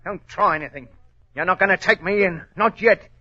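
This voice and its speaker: A man's voice: high-pitched, fairly quick, bright, forceful tone. An actor playing a desperate, vengeful gunman in 1940s radio style, menacing, bitter and agitated, on a muffled old recording.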